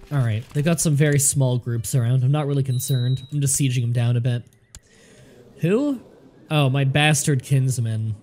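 A man's voice: medium pitch, warm tone. A man with a nasal voice, stuffed up a little more than usual, giving casual commentary over a strategy game and chatting to its characters. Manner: chatty, thinks aloud, talks to game characters. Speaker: let's play commentator.

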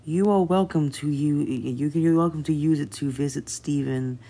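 A soft-hearted, hesitant cartoon giantess acted by a woman, polite and apologetic. She speaks in a halting way, with a breathy husk and some creak in the tone. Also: low-pitched. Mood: kindly. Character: gentle, hesitant, apologetic giant female gem ruler. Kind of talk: amateur cartoon comic dub.

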